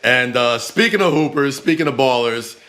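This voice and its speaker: A man's voice: medium pitch, expressive, normal speed, bright, forceful tone. A man from Queens, hosting a casual sports interview in emphatic, slangy talk. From Far Rockaway, Queens, New York. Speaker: sports show host.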